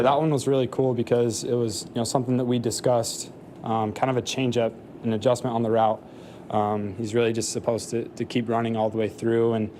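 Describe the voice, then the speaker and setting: A young man in his twenties answering questions at a postgame press conference, soft-spoken with many fillers. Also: on the low side, a little hiss or hum. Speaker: football player at a press conference.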